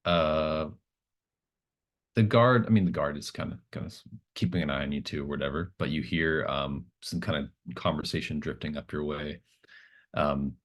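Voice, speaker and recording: Very deep-voiced man running a tabletop game. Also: normal speed. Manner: casual, loose, conversational. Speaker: game master. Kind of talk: improvised narration of a tabletop game.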